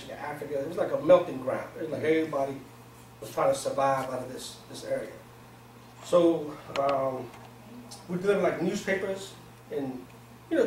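Echoey, higher-voiced man giving an informal talk. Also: a little mellow. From Pakistan, grew up in Virginia, US. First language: not English. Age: 40s. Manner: colloquial, streetwise phrasing. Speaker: seminar speaker. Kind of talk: informal motivational talk to a small group. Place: small indoor conference room. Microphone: far camera microphone. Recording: echoey room, distant camera mic, noisy.

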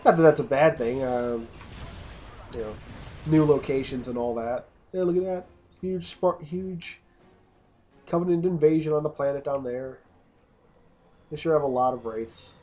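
A man's voice: fairly high pitch, a little slow, long pauses, darkish tone. A man casually commenting over gameplay, rambling and often restarting his sentences. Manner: rambling, self-interrupting, casual. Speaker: let's play host.

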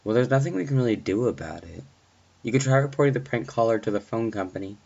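Man acting businesslike police dispatcher, raised pitch. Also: animated, quick pace, creaky, darkish tone, husky, muffled recording. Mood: dismissive. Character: calm, businesslike male police dispatcher, reassuring.

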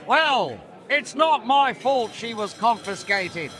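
A man voicing a down-on-his-luck scoundrel, pleading and making excuses, in an animated comic. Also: extremely high pitch, slow, faint music behind, full character voice. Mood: defensive. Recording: bar crowd behind.

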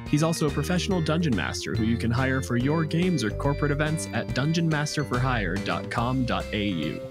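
A man's voice: medium pitch, lively intonation, brisk, over music. A man hosting a podcast, with scripted introductions and casual gaming chat. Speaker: podcast host.

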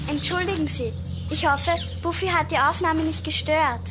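A woman playing a gentle, earnest young girl who loves her dog, in a children's audio drama. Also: high voice, normal speed, very noisy, lightly acted.

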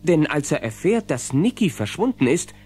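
A man's voice: on the high side, sing-song, moderate pace, a little mellow, a little hiss or hum. A man playing the narrator of a children's cartoon adventure, reading scripted story narration. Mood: lively storytelling.

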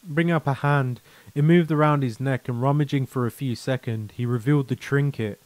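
A man's voice: mid-range voice, steady tone, a little fast, a little mellow, no echo. A man narrating a scripted short story. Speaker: narrator and author.

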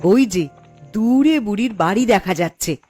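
A woman's voice: medium pitch, lively intonation, moderate pace, a little mellow, faint music behind, close to an everyday voice. A woman voicing a discontented, ruthless village wife in a cartoon, dramatic and scheming. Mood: cold menace. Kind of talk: Bengali fairy-tale cartoon voice acting.

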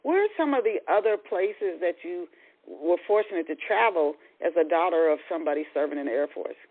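A woman hosting a podcast in radio interview style, talking in a halting way and often rephrasing. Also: fairly low pitch, lively intonation, husky. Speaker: podcast host. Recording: thin, phone-like radio line.

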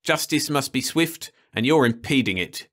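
A man's voice: medium pitch, expressive, normal speed, fairly bright tone, voice pushed up, natural voice. A man voicing a stern, pompous older judge who lectures in a clipped, officious way. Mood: impatient.

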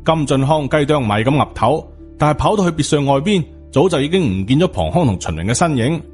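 A man's voice: fairly high pitch, expressive, fairly quick, a little mellow, soft background music. A man with a Hong Kong accent, narrating an audiobook as dramatic scripted storytelling.

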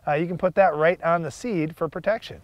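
A man's voice: fairly high pitch, a little fast, no room sound. A man in his thirties from the United States co-hosting a farm show, explaining step by step with small fillers, outdoors in a field on a lapel mic. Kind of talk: scripted educational farm talk.

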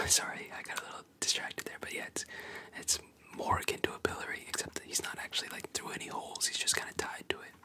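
A storyteller whispering in an unvoiced, breathy ASMR style, retelling a story with casual asides and read-out dialogue. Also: quick pace, bright, forceful tone. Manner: casual asides between quoted lines.

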